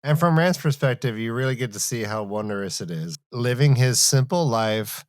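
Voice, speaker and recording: A man's voice: medium pitch, lively intonation, moderate pace, dry recording, studio quality. A man co-hosting a casual book discussion podcast, with a dramatic, amused way of talking. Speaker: podcast co-host.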